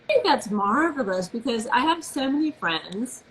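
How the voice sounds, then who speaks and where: A woman's voice: fairly high pitch, sing-song, measured pace, slight background noise, poor audio. A woman hosting a casual live video interview, talking with gushing enthusiasm. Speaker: host.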